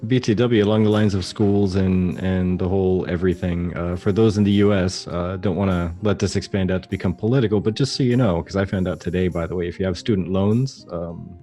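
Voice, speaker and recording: A man's voice: low-pitched, steady tone, fairly quick, few pauses, warm tone, soft background music. A man co-hosting a casual gaming podcast, laid-back and joking. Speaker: podcast co-host.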